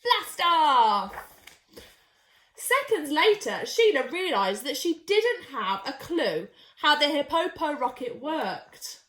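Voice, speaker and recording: High, very animated woman storyteller. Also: slow. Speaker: storyteller reading to young children. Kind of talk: expressive picture-book narration. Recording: echoey, roomy sound.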